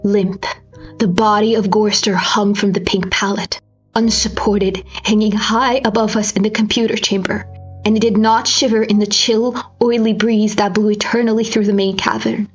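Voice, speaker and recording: A woman's voice: mid-range voice, level tone, breathy edge. Woman narrator, grave and theatrical, over music. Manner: grave, theatrical, deliberate phrasing. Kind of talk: dramatic scripted story narration. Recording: slightly dull recording with music behind.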